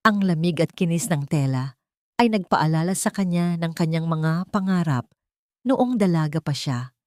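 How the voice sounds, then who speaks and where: Woman, Filipino accent, lower-pitched voice, dramatic audiobook narration, close dry recording. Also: animated, normal speed, no room sound. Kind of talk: dramatic scripted story narration.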